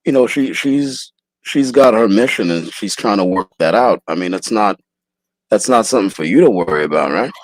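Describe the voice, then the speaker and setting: Low-voiced host with a lively pitch. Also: male voice, normal speed, dry recording, poor audio. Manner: hesitant, repeats words while building a point. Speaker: livestream host. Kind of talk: casual debate chat.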